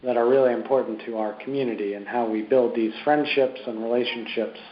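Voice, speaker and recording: Interview guest, man, quiet and thoughtful, muffled audio. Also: mid-range voice, fairly even intonation, fairly quick. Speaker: guest, community director. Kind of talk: interview answers.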